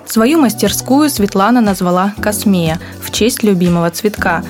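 A woman's voice: medium pitch, expressive, moderate pace. A woman hosting a podcast, reading scripted narration in speech. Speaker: podcast host. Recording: voice over clear music bed. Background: music.

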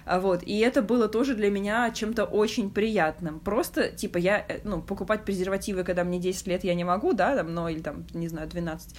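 A woman's voice: mid-range voice, brisk, hardly any pauses. A young woman hosting a podcast, speaking in a frank, reflective and casual way, feeling for her words as she goes. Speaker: podcast host. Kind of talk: candid casual conversation.

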